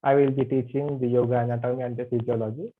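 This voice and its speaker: A man's voice: mid-range voice, level tone. Man teaching over a tinny call. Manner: halting, with many fillers. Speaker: yoga anatomy teacher. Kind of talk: online class introduction. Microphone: video call. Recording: tinny video call audio.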